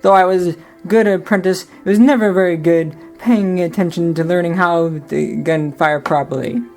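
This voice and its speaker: Weary young pirate seaman, read by a woman, plain storybook telling, soft music behind. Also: on the low side, steady tone, normal speed, close to an everyday voice. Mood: earnest. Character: young Irish pirate seaman, put-upon, resentful. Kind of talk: first-person storybook narration.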